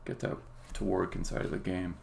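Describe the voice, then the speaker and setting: A man, a game developer, casually explaining his work on a live stream in a halting, think-aloud way. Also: sits low, level tone. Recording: clear background noise.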